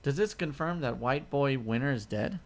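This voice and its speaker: A young man co-hosting a podcast, teasing friends with deadpan provocations. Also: mid-range voice, moderate pace. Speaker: podcast co-host. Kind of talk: casual banter.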